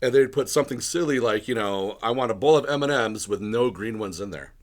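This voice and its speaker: A man hosting a casual podcast interview, his voice a little hoarse from bronchitis. He talks in a loose, rambling way, going off on tangents and often clearing his throat. His pitch sits in an ordinary middle range. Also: fairly quick. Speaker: podcast host.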